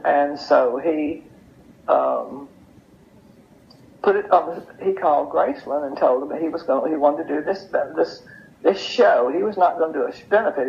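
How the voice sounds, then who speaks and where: A woman's voice: deep voice, creaky. An older woman, telling anecdotes in a casual, chatty way as an interview guest. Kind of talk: casual storytelling. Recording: muffled lo-fi audio with noise.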